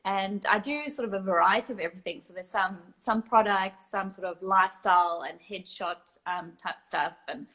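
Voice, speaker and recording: A woman's voice: medium pitch, normal speed, few pauses, pushed and bright. South African woman explaining, many ums. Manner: explanatory, with frequent ums and restarts. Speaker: guest photographer. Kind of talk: casual live chat.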